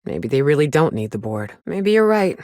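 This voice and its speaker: A woman's voice: sits low, very animated, normal speed, warm tone, dry recording, studio quality. A woman playing a weary, cynical but caring frontier woman in a video game, blunt and plainspoken with folksy, sweary talk. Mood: weary, doubtful. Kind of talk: video game character dialogue.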